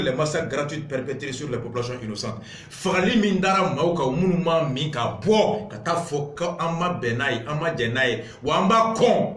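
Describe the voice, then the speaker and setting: High-pitched, indignant Malian man in echoey room. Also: a bit bright. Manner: emphatic, indignant, repetitive rhetorical build-ups. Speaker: political commentator. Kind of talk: impassioned political monologue mixed with reading aloud.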